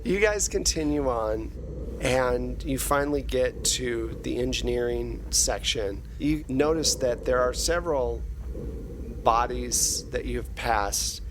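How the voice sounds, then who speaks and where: A man's voice: mid-range voice, takes it slowly. A man hosting and narrating a tabletop role-playing game in casual, improvised talk. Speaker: game master and host. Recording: clear background noise.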